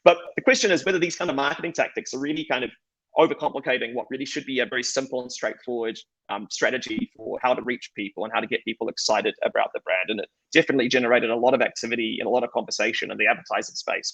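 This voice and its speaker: A man's voice: medium pitch, racing, creaky, a bit bright, slightly rough, no room sound, low-quality recording. A middle-aged man with a New Zealand accent giving a conference talk in a conversational, self-deprecating way with frequent restarts. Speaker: conference speaker. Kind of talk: conference presentation.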